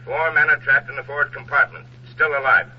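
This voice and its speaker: Man acting a brisk, fast-talking Navy admiral. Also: mid-range voice, fairly even intonation, close to an everyday voice. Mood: urgent, official. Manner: formal, urgent, commanding. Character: brisk, authoritative male Navy admiral giving orders. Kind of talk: 1940s radio drama acting. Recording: muffled 1940s radio recording, faint noise.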